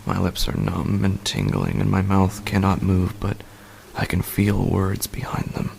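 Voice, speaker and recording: A man performs a frightened husband telling his own horror tale in the dark. His voice is very low and full of gravelly fry, breathy and halting, sinking toward a whisper. Clear noise and eerie ambience sit behind the narration. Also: flat delivery, exaggerated voice. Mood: anxious. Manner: halting, hushed, dropping into whispers. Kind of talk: scripted horror fiction narration, first person.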